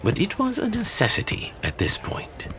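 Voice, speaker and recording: A man's voice: high-pitched, huge pitch swings, normal speed, bright, forceful tone, slight background noise, exaggerated voice. A man playing a patient storyteller, narrating a fantasy audio drama to a listener.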